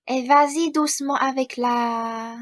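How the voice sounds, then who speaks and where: A woman's voice: sits high, steady tone, slow, background noise. A woman playing an idle, snide young stepsister with mock kindness in a student radio play. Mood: mock-kind.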